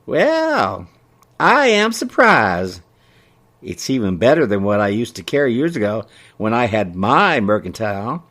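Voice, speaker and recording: A man's voice: fairly high pitch, very animated, normal speed, creaky, fairly bright tone, pitched above the performer's own voice, full character voice. A man voicing a chatty, thrifty elderly small-town woman in a story, with a folksy, fussy manner. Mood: surprised.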